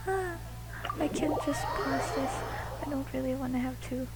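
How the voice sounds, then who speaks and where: A woman's voice: sits high, moderate pace, very noisy. A woman giving casual gameplay commentary, muttering short, fragmented reactions. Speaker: gamer. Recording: game music behind the voice.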